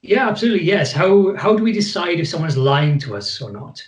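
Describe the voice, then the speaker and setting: A man with a Welsh accent, a guest on a video call, talking in a relaxed and jokey way. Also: a little high, brisk, roomy sound. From Wales. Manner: easygoing, jokey. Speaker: guest psychologist. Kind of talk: relaxed conversation and presentation.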